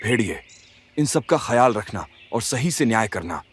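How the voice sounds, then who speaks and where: A man voicing an aging lion king in a cartoon fable, trusting and dignified, speaking in a measured, earnest way. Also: on the low side, animated, slightly rough. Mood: resigned, dignified. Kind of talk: Hindi animated moral story dialogue.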